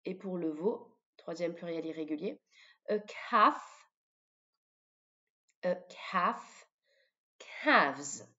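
A French-accented woman teaching vocabulary in a didactic way, repeating words deliberately for pupils. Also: lowish voice, expressive, pushed and bright. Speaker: English teacher. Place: voice-over of a screen recording. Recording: dull lo-fi microphone sound.

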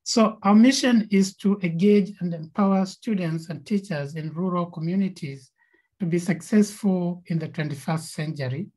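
A woman's voice: lowish voice, normal speed, dark tone, breathy edge. A woman with a Kenyan accent, co-founder of a nonprofit, presenting slides on a video call with frequent fillers and repeated words.

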